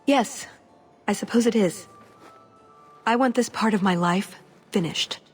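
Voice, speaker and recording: A woman's voice: mid-range voice, a little slow, slightly rough, faint music behind. An actress playing a young elf thief in a video game, wry, sarcastic and restless in her delivery. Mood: eager, guarded.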